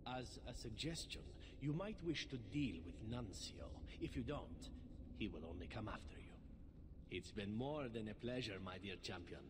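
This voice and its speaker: An actor playing a flirtatious, unflappable elf assassin in a video game, teasing and theatrical. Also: male voice, lowish voice, animated, measured pace, background noise. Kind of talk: video game character acting.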